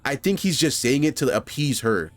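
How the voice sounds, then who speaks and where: A man's voice: medium pitch, very animated, moderate pace. A man from New York hosting reaction commentary, casual and heartfelt, full of "like" and swearing. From New York, USA. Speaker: commentary channel host. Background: music.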